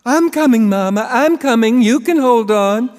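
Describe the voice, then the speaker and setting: A man voicing a middle-aged woman calming her mother, read live in a reverberant hall. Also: extremely high pitch, normal speed, voice pushed up. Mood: placating.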